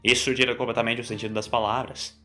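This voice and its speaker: An old time-travelling mentor, played by a male narrator speaks calmly and wryly in an audio story. The delivery is measured and sententious, pitched down, with music underneath. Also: lightly acted. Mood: wry, instructive.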